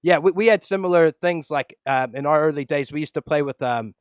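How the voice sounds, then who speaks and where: Casting: laid-back American man, podcast host, smooth clear voice, slightly high, dry close sound. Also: brisk, no room sound. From Los Angeles, USA. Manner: laid-back, says "bro" constantly. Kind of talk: casual interview over video call. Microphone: video call.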